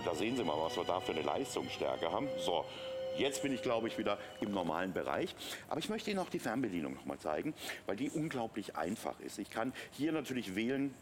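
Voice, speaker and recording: A middle-aged man giving a live sales demonstration on a shopping channel, explaining in a conversational way. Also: lowish voice. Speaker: shopping channel product presenter. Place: TV studio.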